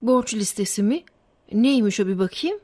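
A woman playing a caring, wise mother in a children's cartoon, speaking in an affectionate, gently instructive way. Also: medium pitch, expressive, normal speed, dry recording, studio quality, close to an everyday voice. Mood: tender, earnest.

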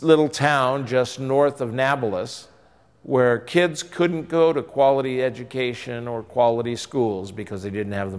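A man's voice: mid-range voice, long reverb tail. A man in his sixties, a bishop, earnestly exhorting his diocese in a pastoral address to camera in a church. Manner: earnest, exhorting, rising and falling emphasis. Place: church interior by stained glass windows.

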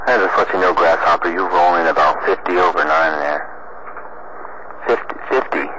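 A man's voice: low voice, steady tone, normal speed. A man chatting casually as a CB radio operator, heard over a radio transmission. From United States. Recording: very noisy CB radio reception. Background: loud radio static.

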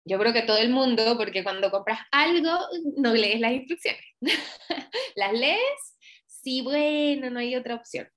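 A young woman from Venezuela with a Venezuelan accent, a voice actress chatting as a guest over a video call, lively and laughing often. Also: fairly high pitch, measured pace. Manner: lively, laughs often, acts out quoted lines. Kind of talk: casual interview chat. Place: home room, video call.

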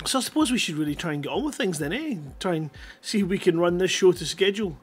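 A man's voice: high-pitched, animated, quick pace, slightly rough. A middle-aged man hosting a livestream, chatting casually and rambling in a jokey, self-deprecating way into a headset mic. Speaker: live stream host.

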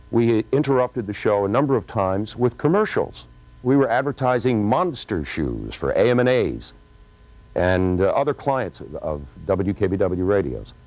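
An American man in his fifties recalling events in an interview, speaking in a deliberate, emphatic storytelling way. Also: medium pitch, a little mellow, no echo, rough recording. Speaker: former radio producer recalling a broadcast. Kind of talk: interview recollection. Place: TV studio with curtain backdrop.

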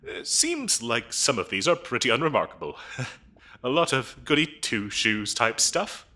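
A man's voice: mid-range voice, very animated, measured pace, pushed and bright, full character voice. A man playing a fussy, bureaucratic demon interviewer in a comedic audio roleplay, officious and chatty with hesitant, dryly polite phrasing. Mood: intrigued. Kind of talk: comedic audio roleplay acting, addressing the listener.

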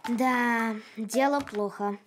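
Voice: medium pitch, fairly even intonation, takes it slowly, heavy background noise, lightly acted. A performer voicing a thoughtful young boy with an earnest, mildly reproving manner in a children's cartoon. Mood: concerned. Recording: loud music behind.

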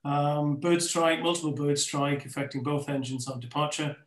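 A man's voice: a little high, fairly even intonation, normal speed. A middle-aged man hosting an online panel discussion, speaking over a video call. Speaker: webinar moderator. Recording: roomy, echoing webcam audio.

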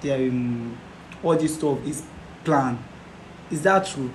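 A man's voice: mid-range voice, slow pace, natural voice. An actor playing a young man who pleads and repeats himself, breaking into laughing sobs. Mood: anxious. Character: young man heartbroken by betrayal. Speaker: betrayed boyfriend. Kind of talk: amateur skit acting. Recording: roomy echo, faint noise.

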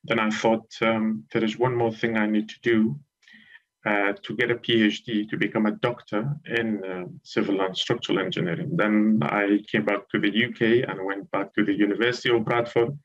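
A man in his thirties from Iraq, a guest lecturer telling his story on a live TV interview over a video call, measured and hesitant with frequent ums. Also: lowish voice, level tone, highs cut off. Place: home room with curtains.

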